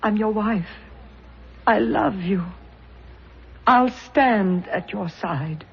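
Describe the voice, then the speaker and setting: An actress playing a devoted, tender wife in a radio drama, speaking earnestly and with emotion. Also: female voice, medium pitch, very slow, gravelly, husky, full character voice. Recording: muffled old radio drama recording.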